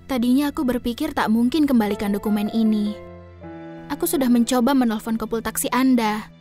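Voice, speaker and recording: A polite, grateful young woman in an audio drama, played by a woman, her voice fairly high and soft. Music plays behind her, and she sounds a little distant. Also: fairly quick, close to an everyday voice.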